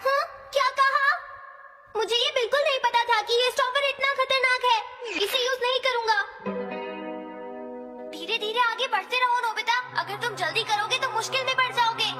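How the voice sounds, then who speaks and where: A woman's voice: extremely high pitch, steady tone, normal speed. A woman voicing an anxious, helpful robot cat in a cartoon dub, coaching in urgent exclamations. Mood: worried. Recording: loud music under the voice.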